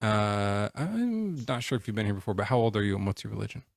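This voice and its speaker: Man in his 40s, stream host, lowish voice, dry close sound, loose rambling delivery. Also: sing-song, creaky, no room sound. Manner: rambling, halting, many fillers and restarts. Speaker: livestream host. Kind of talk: casual live-stream chat.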